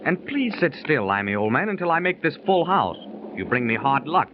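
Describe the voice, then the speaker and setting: An actor playing a brash, eager gambler in a 1930s radio drama. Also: male voice, on the high side, sing-song, a little fast, a little hiss or hum. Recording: muffled 1930s radio transcription.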